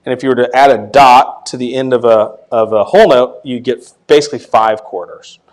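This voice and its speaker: Halting man teaching a church class. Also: fairly even intonation. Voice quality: tenor voice, not deep. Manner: halting, many restarts and fillers. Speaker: Sunday school teacher.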